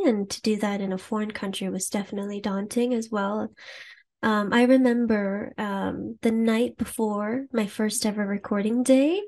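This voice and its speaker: Young woman, American accent, soft-spoken, slightly high voice, laughs often. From Japan. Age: young adult. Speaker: singer guest. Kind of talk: casual interview answers.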